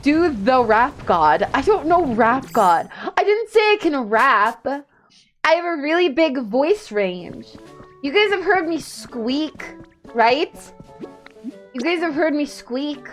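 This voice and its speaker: A woman's voice: high voice, sing-song, slow pace, soft background music. A woman livestreamer chatting casually while she plays a video game, rambling and self-deprecating. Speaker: streamer, VTuber.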